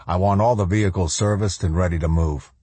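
Man as a deep, commanding, ruthless colonel. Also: fairly even intonation, a little fast, dark tone, slightly rough, no echo. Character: commanding male army colonel, ruthless, coolly self-assured fanatic.

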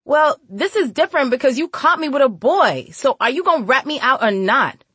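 A woman's voice: sits high, expressive, a little fast, fairly bright tone, husky, no echo, voice pushed up, heavily acted. A woman voicing a hot-tempered, defiant young girl with slangy, exclamatory speech in an audiobook story. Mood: snotty.

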